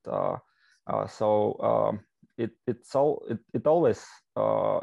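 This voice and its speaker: A man in his thirties from Russia speaks over a video call from a book-lined study. His voice is deep and gravelly, and he pauses often and restarts his sentences. The sound is dry and dull, like a lo-fi laptop mic. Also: dry recording. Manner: hesitant, many fillers and restarts. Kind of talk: casual video-call interview. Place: home study with bookshelves.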